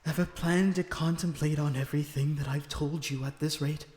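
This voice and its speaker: A man playing a lonesome captive vampire in an audio roleplay, weary, bitter and sardonic, with measured dramatic phrasing. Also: on the high side, fairly even intonation, darkish tone, natural voice. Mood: weary frustration. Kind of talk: ASMR audio roleplay acting. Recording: echoey reverberant room with background noise.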